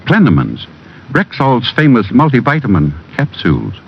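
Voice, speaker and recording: An actor playing an assured, helpful druggist who earnestly explains and sells in an old radio commercial. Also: male voice, mid-range voice, huge pitch swings, normal speed, gravelly, slight background noise. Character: helpful, assured male druggist pitching vitamins. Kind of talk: 1940s-50s radio commercial. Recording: dull old radio recording.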